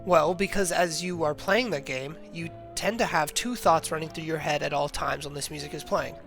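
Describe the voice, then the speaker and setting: A man's voice: a little high, moderate pace, gravelly, fairly bright tone. A young man narrating a scripted video essay as a student presenting an analysis, reading steadily from a prepared text. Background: music playing under the voice.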